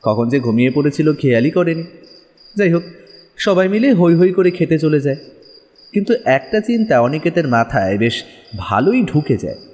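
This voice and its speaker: Male narrator for a spooky audio story, fairly high, very animated, reverberant sound. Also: a little fast. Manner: expressive, dramatic storyteller.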